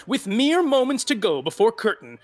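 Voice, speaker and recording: An actor playing a mischievous narrator who relishes backstage drama, in theatrical scripted audio drama narration. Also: male voice, very high voice, big pitch swings, normal speed. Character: sly, theatrical male storyteller relishing drama.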